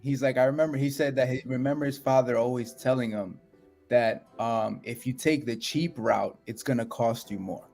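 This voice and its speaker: A blunt man co-hosts a casual real estate chat. His talk is streetwise and direct, at a middling pitch. Soft music plays faintly behind him. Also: moderate pace, vocal fry. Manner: streetwise, blunt, says "yo" and "folks". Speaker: real estate podcast co-host.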